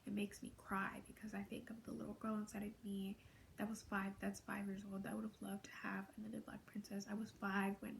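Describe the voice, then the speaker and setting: Teen girl fan vlogger, soft half-whisper, gravelly fry, flat gushing delivery, noisy recording. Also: mid-range voice, fairly quick. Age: late teens. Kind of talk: casual fan vlog.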